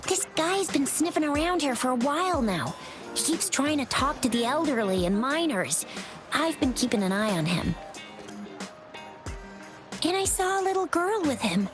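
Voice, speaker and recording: A woman playing a feisty, officious young street patroller in a video game, brisk and assertive. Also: high-pitched. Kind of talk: scripted video game acting. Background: music.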